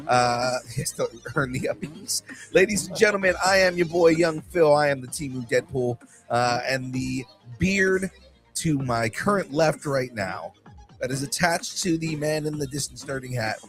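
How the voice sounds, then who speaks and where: A young man hosting a casual podcast, excitable and swearing freely, with a light cold and a slight cough. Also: medium pitch, a little slow, faint music behind. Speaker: podcast host.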